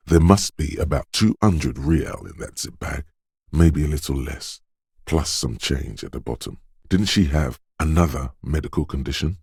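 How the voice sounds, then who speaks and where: A man voicing the quick-witted inner voice of a detective's instincts in a video game, narrating in a deliberate, alert and dramatic way. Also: a bass voice, husky, no echo, studio quality, heavily acted.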